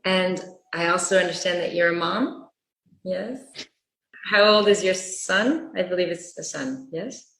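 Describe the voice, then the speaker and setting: Woman moderator, lowish voice, stop-start questions, roomy echo. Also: fairly bright tone. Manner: halting questions with restarts. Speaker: interview moderator. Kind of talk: moderating a panel interview. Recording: echoey room.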